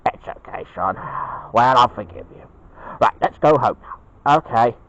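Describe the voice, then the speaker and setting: A man's voice: medium pitch, lively intonation, moderate pace, a bit bright, lo-fi sound, close to an everyday voice. A man as a patient, murmuring cartoon father bear. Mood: gentle, forgiving. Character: father bear, patient, gently encouraging dad. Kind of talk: homemade cartoon dialogue.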